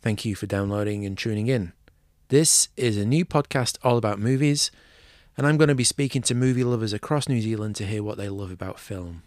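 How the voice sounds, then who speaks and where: Mancunian man hosting a movie podcast, low voice. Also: brisk, a little mellow, dry recording, studio quality. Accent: Mancunian English. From England. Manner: chatty, enthusiastic movie fan. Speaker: podcast host. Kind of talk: scripted intro then casual interview.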